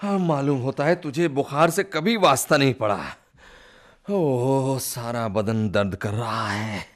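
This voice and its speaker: A man's voice: medium pitch, unhurried, natural voice. An actor playing an irritable, ailing man with a fever and cough, groaning and complaining in a radio play. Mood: suffering, self-pitying. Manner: exasperated, complaining, groaning, melodramatic. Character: irritable bedridden sick man scolding his servant. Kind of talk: Hindi radio play acting.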